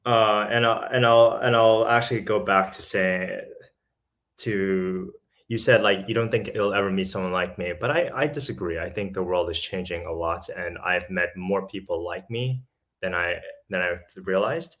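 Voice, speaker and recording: A man's voice: low-pitched, moderate pace, a bit bright. A man from South Africa, a podcast guest talking casually and thoughtfully, often repeating words as he finds his thought. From born in Lesotho, raised in South Africa. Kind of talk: casual conversation.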